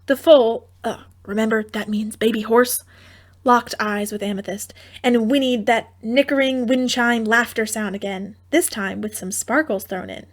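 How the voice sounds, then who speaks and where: A woman reads a scripted fairy tale as an audiobook narrator. Her voice is fairly high, and her storytelling is theatrical and droll, with wry asides. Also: normal speed.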